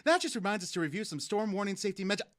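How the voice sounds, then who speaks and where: Man acting chatty news anchor, higher voice, very lively pitch, fast, broadcast patter. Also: a little hiss or hum. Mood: upbeat. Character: upbeat, chatty local television news presenter.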